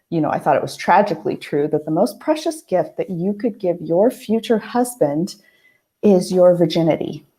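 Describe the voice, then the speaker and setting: A woman hosting a casual heart-to-heart monologue, a little hoarse, talking thoughtfully with many pauses and restarts. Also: fairly low pitch, warm tone. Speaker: host. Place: family house, at night.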